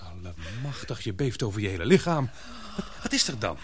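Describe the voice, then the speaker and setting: An actor in a radio play playing a concerned, puzzled but patient lover who speaks hesitantly and soothingly. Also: male voice, lowish voice, very animated, fairly quick, a little hiss or hum.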